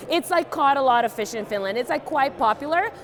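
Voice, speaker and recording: Young woman, fairly high voice, deadpan, playful, lots of fillers, fly-tying demo. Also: normal speed. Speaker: guest fly tier.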